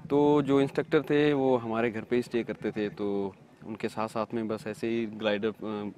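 A man from India, a paragliding pilot, answering interview questions in a relaxed, halting way with many fillers. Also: lowish voice, a little hiss or hum.

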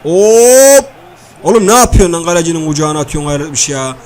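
Man doing a high-pitched blustering comic loudmouth. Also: quick pace, lightly acted. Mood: bossy, heckling. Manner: slangy, blustering, nagging, repeats phrases. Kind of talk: comic video-game banter and match commentary.

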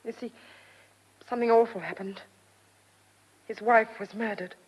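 A woman's voice: a little high, fairly even intonation, normal speed, slightly rough, muffled recording. An actress playing an anxious, earnest young farm woman in a radio drama, hesitant and worried. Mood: grave, confiding.

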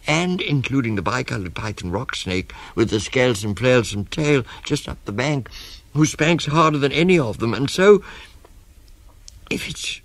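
A man's voice: medium pitch, expressive, moderate pace, dry recording, pitched above the performer's own voice, lightly acted. A man voicing a polite, innocent young elephant in a storybook, earnest and breathless. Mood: polite, anxious. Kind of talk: storybook character voice.

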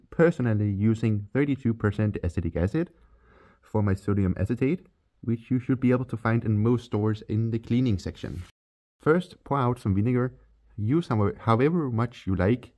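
Danish-accented man with a mellow voice. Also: lowish voice. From Denmark. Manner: plain, step-by-step instructional. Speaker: chemistry channel host. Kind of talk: scripted voice-over tutorial.

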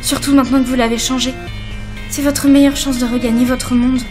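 A woman's voice: high-pitched, little pitch movement, brisk, lightly acted. A woman playing a brave, loyal fantasy heroine in an audio drama, earnest, formal and reassuring. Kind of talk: French audio drama acting. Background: music.

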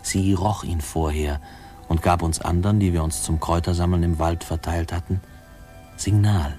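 An actor playing a man tenderly remembering his childhood, narrating a 1950s radio play in a mellow, reflective way. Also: low-pitched, fairly even intonation, moderate pace, lightly acted. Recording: old radio play, music behind.

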